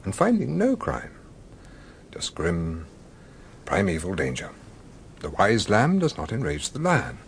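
An actor playing an older, courteous British gentleman scholar in a radio drama, musing and sometimes hesitant. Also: low voice, wildly swooping pitch, unhurried, long pauses, slight background noise, heavily acted. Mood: grave, musing. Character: genial, philosophical English gentleman scholar, older, gently evasive.

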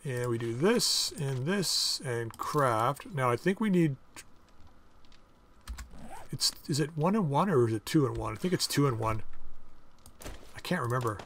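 A man hosting a casual game playthrough, chatting and thinking aloud as he crafts items, with his voice going a bit hoarse. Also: mid-range voice, expressive, measured pace, long pauses, a bit bright, a little hiss or hum. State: losing his voice. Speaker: gaming channel host. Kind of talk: casual let's-play commentary.

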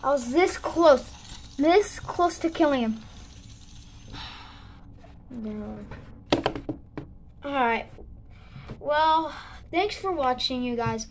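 Chatty kid with a sore throat. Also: female voice, sits high, a little hiss or hum. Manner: rambling, halting, with excited outbursts. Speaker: gaming channel host. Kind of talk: casual gameplay commentary.

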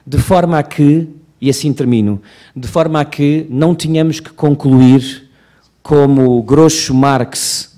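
A man in his fifties with a European Portuguese accent, giving a measured, rhetorical seminar speech at a podium microphone. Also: a little high, warm tone. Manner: measured, rhetorical, slows for emphasis. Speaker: Portuguese consul general giving opening remarks. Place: conference auditorium, at a podium.

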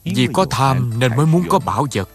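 A man voicing a serene, kindly, wise Buddhist monk master in a cartoon, measured and gently instructive. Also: mid-range voice, expressive, music in the background, lightly acted. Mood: calm teaching. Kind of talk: Vietnamese-dubbed moral cartoon.

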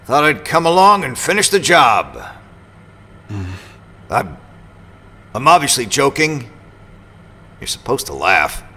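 A man's voice: medium pitch, very animated, slow, long pauses, bright, forceful tone, slightly rough, in a large hall, exaggerated voice. An actor playing a roguish, sarcastic assassin mercenary who teases his way through an audio drama. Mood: teasing. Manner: sarcastic, teasing. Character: sardonic, roguish male assassin mercenary, mock-wounded and teasing.

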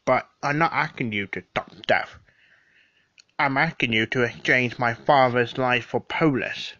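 A man's voice: medium pitch, measured pace, no echo, lo-fi sound. A man playing a desperate, vengeful villain in an audio drama, accusing and confrontational. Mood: pleading, insistent. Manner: confrontational, forceful.

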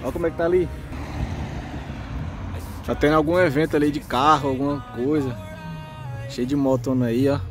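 A man's voice: fairly high pitch, moderate pace, pauses often, faint music behind. A man in his thirties with a Brazilian accent, casually narrating a travel vlog outdoors into a handheld phone. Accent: Brazilian Portuguese. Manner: relaxed, chatty, addresses viewers as "pessoal". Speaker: travel vlogger. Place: outdoors on trails and beach, windy. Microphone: handheld phone camera.

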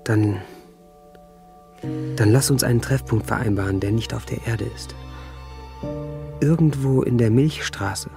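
An actor playing a gentle, wistful storyteller in a radio drama. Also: male voice, mid-range voice, a little slow, creaky, long pauses. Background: music.

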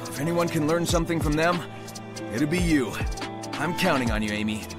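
A man's voice: on the high side, lively intonation, fairly quick, lightly acted. A man voicing an upbeat, confident young male hedgehog hero in a video game cutscene, casual in manner. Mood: supportive. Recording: loud music behind the voice.

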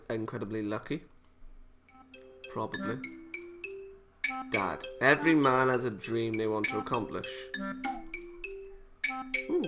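A British man hosting a gaming video, chatting casually and reading visual novel text aloud with playful, self-interrupting asides. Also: mid-range voice. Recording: music playing behind the voice.

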